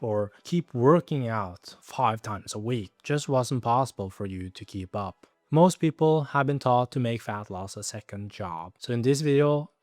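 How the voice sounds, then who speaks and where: A fitness coach talking straight to camera in a scripted video, direct with a dry, joking manner. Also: male voice, lowish voice, a little mellow, no echo.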